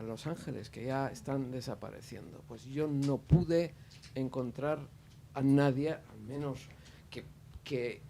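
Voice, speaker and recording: A man's voice: medium pitch, a little mellow, a little hiss or hum. A man speaking off the cuff at a panel discussion, hesitant, with many fillers and restarts. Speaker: audience member at a panel. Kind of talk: spontaneous spoken remarks at a panel discussion.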